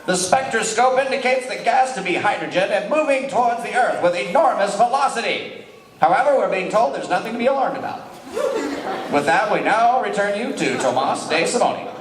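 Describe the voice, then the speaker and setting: A man's voice: high voice, expressive, fairly quick. A man playing a formal, declamatory 1930s radio announcer, a touchy showman, in a mock old-time broadcast on stage. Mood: formal, urgent. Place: live community stage show indoors. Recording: echoey live stage hall.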